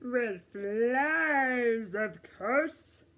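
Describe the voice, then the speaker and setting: A woman voicing a brash, boastful big-mouthed frog puppet for a children's storytime, loud and drawn out. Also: fairly high pitch, expressive, voice pushed up, heavily acted. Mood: cheerful, eager. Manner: loud, drawn-out, shouting questions.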